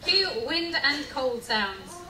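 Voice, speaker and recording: A woman's voice: high-pitched, big pitch swings, slow. A woman narrating a comic live amateur radio play from a script, playfully reading out the sound cues. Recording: distant, echoey, loud crowd noise.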